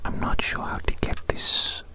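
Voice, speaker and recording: An ASMR performer speaking in a breathy, unvoiced whisper, fussy and deliberate, repeating phrases in a roleplay.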